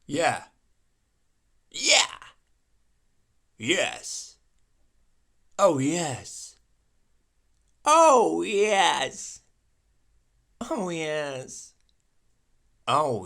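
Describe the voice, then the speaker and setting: A man with a bright, sharp voice records a series of one-word sound effect takes. His pitch swings widely and animatedly from take to take, and he delivers each take very slowly, stretching it out. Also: vocal fry. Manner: many takes of one word, from whispered to shouted.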